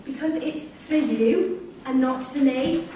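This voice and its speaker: An actress playing a firm, weary prison course leader with an exasperated, authoritative manner in a staged reading. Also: female voice, sits high, takes it slowly, dark tone. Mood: exasperated, stern. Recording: distant echoey hall recording, faint noise.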